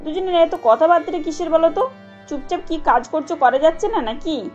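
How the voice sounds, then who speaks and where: A stern older mother-in-law from a cartoon family tale, performed by a woman. She scolds and finds fault in a very high voice. The sound is slightly muffled, with music behind. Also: a little fast, darkish tone. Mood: scolding. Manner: scolding, sarcastic, nagging. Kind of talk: cartoon story acting.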